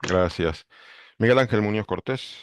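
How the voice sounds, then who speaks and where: A man hosting a book club video call, briskly greeting each member. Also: lowish voice, no room sound. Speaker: book club director and host. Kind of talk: calling roll on a video call.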